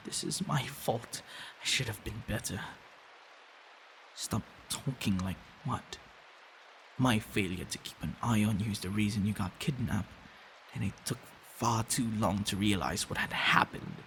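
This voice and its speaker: A man acts out a possessive, worried vampire lover for an ASMR audio roleplay. His voice is low and full of gravelly fry, and he speaks very slowly. The delivery is hushed, intimate and protective, with halting phrases that drift into whispers. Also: a little hiss or hum, full character voice, low-quality recording. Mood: protective, guilty.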